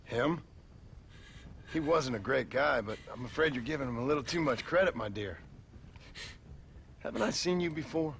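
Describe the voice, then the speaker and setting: A wry, weary frontier gunslinger. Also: male voice, medium pitch, animated, normal speed, pauses often, a bit bright, slight background noise. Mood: sardonic. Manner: dry, sardonic drawl. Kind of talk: video game cutscene acting.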